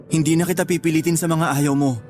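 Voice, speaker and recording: Actor as penitent young boyfriend, devoted and pleading, terse apologetic lines, radio drama with faint music behind. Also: male voice, on the high side, fairly even intonation, fast talker, darkish tone, close to an everyday voice. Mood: ashamed, subdued. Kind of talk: Tagalog radio drama acting.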